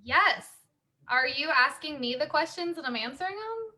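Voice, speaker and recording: A woman's voice: sits high, animated, moderate pace, pushed and bright. A woman from the San Francisco Bay Area who owns a small business, hosting a live online workshop in easygoing casual chat, often laughing and trailing off.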